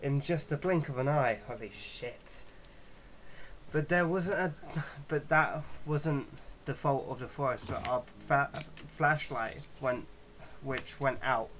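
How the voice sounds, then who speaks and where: Man reading haltingly on a dull mic. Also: medium pitch, a little hiss or hum. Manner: halting reader who stumbles and rereads. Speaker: gaming video host. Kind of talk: reading visual novel narration aloud with casual reactions.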